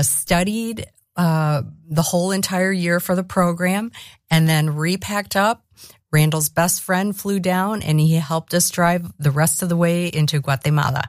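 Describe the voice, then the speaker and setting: A middle-aged woman with a notably low voice tells her personal faith story, earnest and reflective, laughing easily. She grew up in South Korea. The sound is close and dry. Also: no echo. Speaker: interview guest, missionary author. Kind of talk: personal testimony in a faith interview.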